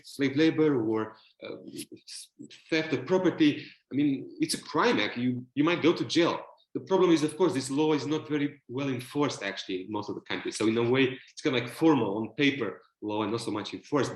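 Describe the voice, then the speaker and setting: A man's voice: mid-range voice, echoey room, low-quality recording. A man from Romania with a Romanian accent, speaking as a panelist in a virtual panel discussion, thoughtful and hesitant with many fillers and restarts. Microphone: video call.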